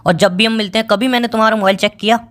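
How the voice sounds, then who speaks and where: A man's voice: high voice, steady tone, racing, a bit bright. Guy acting young boyfriend in a call drama, bitter, sarcastic, keeps accusing, casual talk. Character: bitter, distrustful young boyfriend confronting his girlfriend. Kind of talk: acted romantic phone-call drama in casual colloquial speech.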